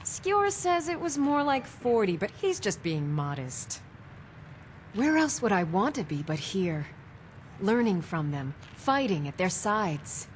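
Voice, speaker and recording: A young female warrior recruit in a fantasy game. She sounds earnest and admiring, at a medium pitch. Faint noise sits under the game audio. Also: very animated, slow. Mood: excited, admiring. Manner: earnest, starry-eyed. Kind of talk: video game character dialogue.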